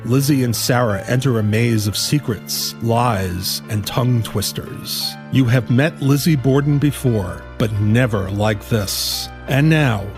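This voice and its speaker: Guy doing dramatic podcast intro for a radio play, mid voice, soft guitar music under him. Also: mid-range voice, a little slow, few pauses. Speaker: podcast announcer. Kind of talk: dramatic scripted promotional introduction.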